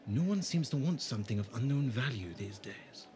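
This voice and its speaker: A man's voice: mid-range voice, animated, a little fast, noisy background, natural voice. A man playing a polite, bashful pottery seller in a fan audio drama, courteous and slightly hesitant. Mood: bashful, wistful. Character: polite, bashful market stallholder selling pottery.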